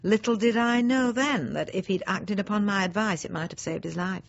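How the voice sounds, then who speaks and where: Husky, prim, petty housewife. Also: female voice, medium pitch, moderate pace. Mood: self-satisfied regret. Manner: prim, pointed, self-justifying, with emphatic swoops. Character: self-righteous, petty, snobbish middle-aged housewife nursing family grudges. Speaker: actor in a radio monologue. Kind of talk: radio drama monologue, confiding. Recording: slightly dull, lo-fi radio recording.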